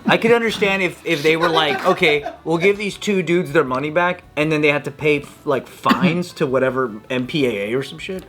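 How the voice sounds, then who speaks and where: A man co-hosting a casual comedy chat, cracking crude jokes and quick comebacks. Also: a little high, a bit bright. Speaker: co-host.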